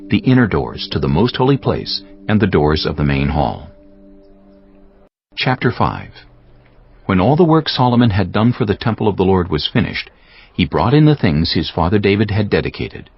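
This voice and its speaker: A man narrating scripture from a script in a measured, formal manner. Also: low-pitched, husky. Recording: slightly dull audio with music bed.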